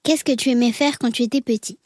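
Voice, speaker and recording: A woman podcast host reading a scripted introduction in a measured, poetic way and asking interview questions. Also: a little high, fairly even intonation, a little mellow, dry recording, studio quality.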